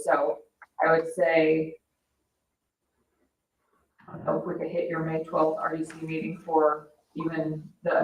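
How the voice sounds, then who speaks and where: A woman explaining matters in a businesslike way as a financial advisor at a public meeting. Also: low-pitched. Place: indoor municipal meeting room. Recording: echoey meeting room, distant mic, dull, faint noise.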